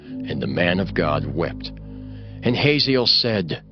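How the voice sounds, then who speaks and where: A man with a fairly low voice narrates scripture solemnly and formally. His measured storytelling moves widely in pitch. The recording is a little muffled, with clear music behind him. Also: very animated.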